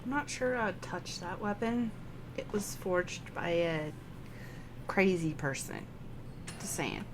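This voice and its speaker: A woman streaming a game, giving casual live commentary in a quiet, offhand, dryly joking way. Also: lowish voice, animated, slow pace, pauses often. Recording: clear background noise from game.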